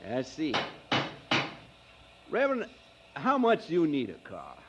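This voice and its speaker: A man's voice: on the high side, huge pitch swings, measured pace, muffled recording, noisy background. An actor playing an eager, folksy small-town used-car salesman who talks persuasively in an audio drama. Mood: dealing.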